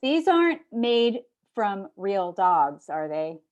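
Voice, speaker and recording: A woman's voice: mid-range voice, very animated, slow, lo-fi sound. Woman playing a naive, fussy lunch customer. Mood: earnest, picky. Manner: earnest, gullible, picky. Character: naive, fussy health-conscious female food court customer. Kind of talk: sitcom table read. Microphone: video call.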